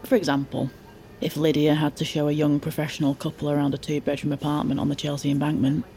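A woman's voice: sits low, little pitch movement, quick pace, dark tone, soft background music, natural voice. A woman as the composed, intimate narrator of a scripted audio fiction story. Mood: measured.

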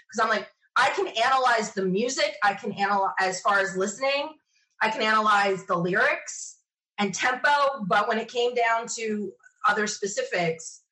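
A woman's voice: on the high side, rough recording. Chatty woman telling personal stories. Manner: chatty, animated quoting of self and others. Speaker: interview guest. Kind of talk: casual personal storytelling.

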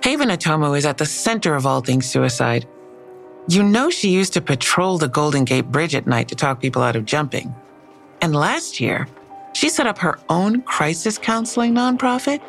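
Skeptical detective, low gravelly creak, dry, matter-of-fact, audio drama. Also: female voice, sing-song, normal speed, soft background music, heavily acted. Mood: briefing, suspicious.

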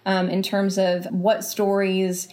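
A woman speaking as a guest in a podcast interview, reflective and explanatory, often pausing mid-phrase and softening points with casual qualifiers. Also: medium pitch, level tone. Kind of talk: thoughtful podcast interview conversation.

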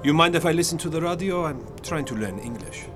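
An actor playing a chatty, cheerful taxi driver in an audio drama. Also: male voice, on the high side, expressive, fast talker, lightly acted. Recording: music, rain and vehicle behind.

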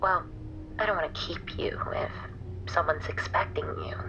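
An actress playing a seductive, predatory female vampire in an audio drama, purring slowly in a breathy whisper. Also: fairly low pitch, lo-fi sound, background noise, natural voice. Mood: seductive, hungry. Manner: slow, breathy, whispered purr.

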